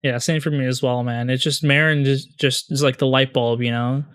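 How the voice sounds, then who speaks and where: A man's voice: mid-range voice, fairly even intonation, moderate pace, a bit bright, clear, resonant tone, dry recording, clean studio sound. A man co-hosting a podcast in casual chat, informal and often repeating words as he goes. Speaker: podcast co-host.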